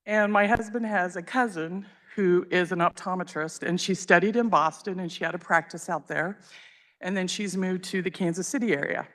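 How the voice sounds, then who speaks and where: A woman's voice: fairly low pitch, fairly bright tone. A woman serving as a state legislator, giving a conversational, anecdotal floor speech in a legislative chamber.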